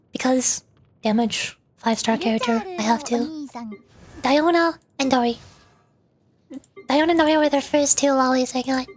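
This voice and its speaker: A woman chatting casually and playfully on a gaming livestream, with animated delivery. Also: high-pitched. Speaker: VTuber streamer, host. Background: background music.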